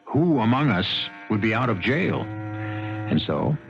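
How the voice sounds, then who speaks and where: A man hosting a 1970s radio mystery with scripted, theatrical narration, gravelly, wry, musing and deliberate. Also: on the low side, sing-song. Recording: dull lo-fi 1970s radio broadcast. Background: music.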